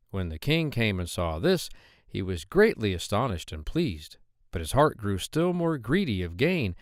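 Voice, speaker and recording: A man narrating a children's fairy tale, with expressive, measured storytelling. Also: on the low side, no echo, clean studio sound. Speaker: audiobook narrator.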